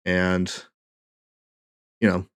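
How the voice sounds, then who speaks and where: A man's voice: sits low, monotone, drawn-out words, smooth. A man giving casual live commentary as he streams a game, with an occasional stammer.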